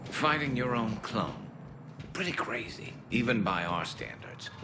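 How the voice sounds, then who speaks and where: Deep, wry alien warrior. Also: male voice, measured pace, creaky, background noise, full character voice. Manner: wry, deadpan wit. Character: deep, dry-humored male alien warrior squadmate. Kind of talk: video game cutscene acting. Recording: loud music behind.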